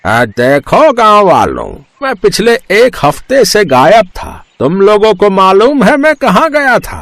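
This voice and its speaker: A man playing a corrupt, blustering village headman in a dramatized story, indignant and boastful with a creaky voice. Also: high voice, huge pitch swings, fairly bright tone, no echo, full character voice. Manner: indignant, boastful, drawn-out delivery. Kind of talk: dramatized moral story acting.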